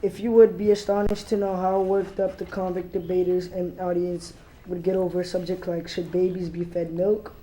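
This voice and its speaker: A young teenage girl gives a student reading aloud. Her tone is dark and mellow, with little rise or fall. Faint noise sits behind the voice. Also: on the low side, flat delivery, moderate pace, poor audio. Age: young teen, middle school student. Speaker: student reader.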